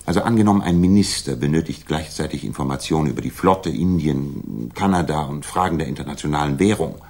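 Casting: sharp-minded Victorian detective, restless and theatrical, deep voice with rough grain. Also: male voice, fast. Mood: explaining, dry. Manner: theatrical, incisive, articulate. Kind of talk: 1960s radio drama acting.

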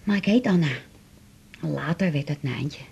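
A wounded woman patient in a radio drama. Her low voice is deeply husky and crackles with fry as she haltingly looks back on a hard life. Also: warm tone, muffled recording, exaggerated voice. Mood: subdued, remembering. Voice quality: husky, gravelly. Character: wounded female patient recalling a hard childhood. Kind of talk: naturalistic Dutch radio drama acting.

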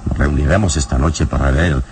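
A man acting a calm, commanding adventure hero in a radio serial, speaking in a solemn, measured way. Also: a bass voice. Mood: cautious, grave.

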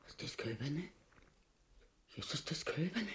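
An actress playing a scheming, bossy, vulgar wife in a farcical radio play, theatrical and emphatic, with a Viennese Austrian accent. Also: female voice, on the high side, expressive, slow. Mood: horrified.

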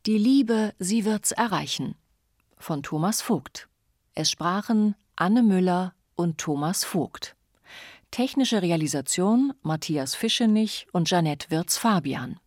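A woman's voice: lowish voice, darkish tone, no echo, studio quality. A woman reading a scripted closing announcement as a radio announcer.

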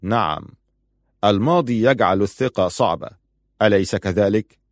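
A man's voice: fairly low pitch, normal speed, dry recording, clean studio sound, lightly acted. A man playing a stern, proud and suspicious husband in audiobook dialogue, firm, curt and challenging.

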